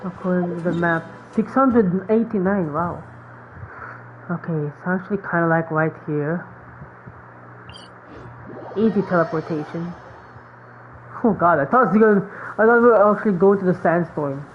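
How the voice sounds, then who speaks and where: A woman's voice: on the low side, moderate pace, long pauses, lo-fi sound, a little hiss or hum. A woman streaming a game with casual, informal commentary, rambling and reacting as she plays.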